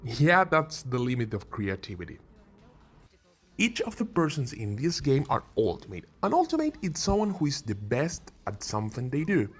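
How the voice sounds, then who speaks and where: A man's voice: mid-range voice, wildly swooping pitch, normal speed, slightly rough. A man hosting a scripted video with game recommendations, playful and emphatic on key words, with joking asides. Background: background music.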